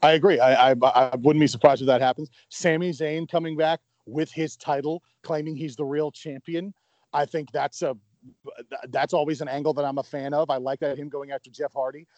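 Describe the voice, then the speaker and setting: A man's voice: on the high side, fairly even intonation, a little fast, darkish tone, dry recording, muffled recording. A man co-hosting a podcast in casual chat, enthusiastic, often stammering and restarting his sentences. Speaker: podcast co-host.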